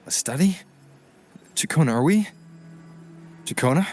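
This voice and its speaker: A man voicing a watchful young male adventurer in a video game, husky and tersely questioning. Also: on the high side, animated, measured pace, darkish tone. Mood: puzzled, wary.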